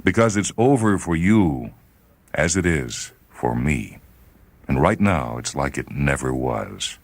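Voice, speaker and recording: A man's voice: rumbling low, big pitch swings, slow pace, no echo, full character voice. A man voicing a dying spaceman, bitter and jealous, pressing urgently as he speaks into a telephone. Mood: regretful, bleak.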